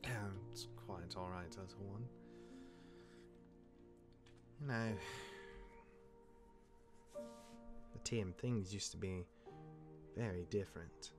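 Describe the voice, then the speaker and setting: A man playing a cursed demigod, a wry mentoring older brother who speaks in measured, dramatic pauses in an audio drama. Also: deep voice, over music. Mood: wistful, reassuring. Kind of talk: dramatic audio roleplay dialogue.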